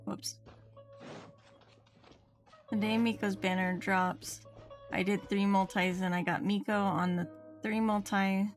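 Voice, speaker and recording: Woman streamer just chatting while gaming, medium pitch, soft game music in the back. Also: little pitch movement, slow pace, long pauses. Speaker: game livestreamer. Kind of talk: casual chat while playing, reading viewers' comments.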